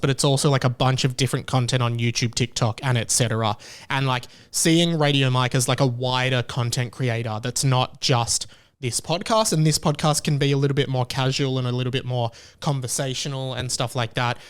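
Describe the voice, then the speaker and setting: A man's voice: mid-range voice, smooth. A man hosting his own podcast, talking casually in a rambling, candid way and often stopping to restart his sentences. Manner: rambling, self-interrupting, candid. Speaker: podcast host. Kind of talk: casual solo podcast chat.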